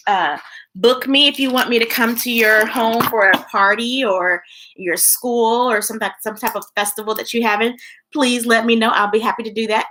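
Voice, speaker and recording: A woman's voice: on the high side, a bit bright. An American woman reading children's books on a live stream, singing the text with playful scat sounds and chatting between stories. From United States. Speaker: children's book reader.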